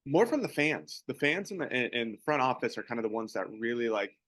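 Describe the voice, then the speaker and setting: A young man who plays pro baseball, co-hosting a podcast and chatting in a relaxed, rambling way. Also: medium pitch, brisk, no echo. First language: English. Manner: relaxed, rambling, many restarts. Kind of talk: casual podcast chat.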